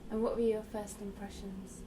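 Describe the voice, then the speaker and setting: A woman conducting an oral history interview, asking gentle, hesitant questions with frequent ums. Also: mid-range voice, level tone, normal speed, recorded from a distance, background noise.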